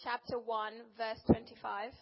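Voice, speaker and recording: A woman's voice: fairly high pitch, flat delivery, slow. A woman interpreting a sermon phrase by phrase, often restarting and correcting herself. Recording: long reverb, dull sound.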